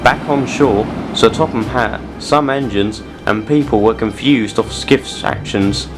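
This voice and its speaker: A man reading scripted story narration as the narrator of an audio drama. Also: mid-range voice.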